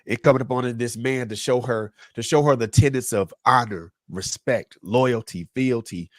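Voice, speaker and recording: A man's voice: medium pitch, moderate pace, dry recording. Guy on a talk panel, very emphatic, repeats phrases kinda like preaching, dry sound. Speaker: panel guest. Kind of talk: panel discussion.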